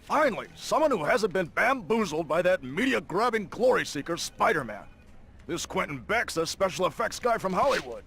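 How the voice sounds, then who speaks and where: High, gravelly, blustering newspaper boss. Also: male voice, big pitch swings, moderate pace, a bit bright, faint music behind, exaggerated voice. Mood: blustering, irate. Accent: American. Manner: barking, abrasive, pompous. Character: blustering, irascible middle-aged male newspaper boss. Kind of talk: video game voice acting.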